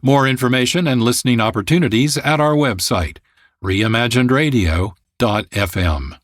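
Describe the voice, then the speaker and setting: A man reading scripted narration as a radio host in a measured, formal manner. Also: medium pitch. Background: faint music.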